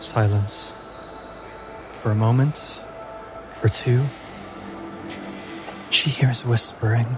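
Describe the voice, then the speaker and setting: A man narrating a tabletop game as game master in a measured, deliberate, dramatic storytelling manner. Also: medium pitch, steady tone, music in the background.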